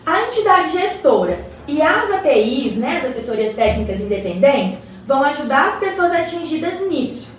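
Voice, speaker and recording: A woman's voice: sits high, sing-song, moderate pace, hardly any pauses. A Brazilian woman playing a well-informed, encouraging neighbour who patiently explains things in colloquial radio drama dialogue. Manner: explanatory, colloquial, addresses her neighbour respectfully. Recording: roomy echo, distant mic, faint noise.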